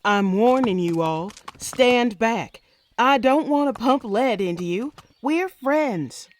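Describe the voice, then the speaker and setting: A woman's voice: on the high side, animated, takes it slowly. A woman playing an earnest, commanding frontier sheriff in a comic-book Western audio drama. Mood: firm, commanding. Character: resolute female frontier sheriff, fair-minded and lovestruck.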